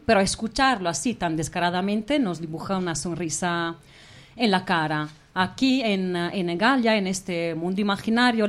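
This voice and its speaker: A woman with a mid-range voice serves as narrator and presenter. She speaks expressively, addressing her listeners as she goes. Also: mid-range voice.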